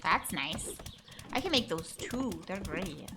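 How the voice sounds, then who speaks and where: A woman's voice: lowish voice, expressive, slow pace. A woman streaming a survival game, chatting casually and rambling with plenty of fillers. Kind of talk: casual chat while gaming. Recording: loud background noise with clicks.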